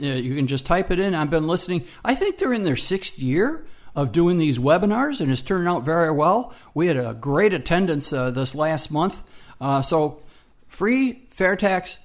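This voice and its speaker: A man's voice: a little high, lively intonation, moderate pace. A man co-hosting a radio program in casual promotional chat, folksy and hesitant, repeating himself for emphasis.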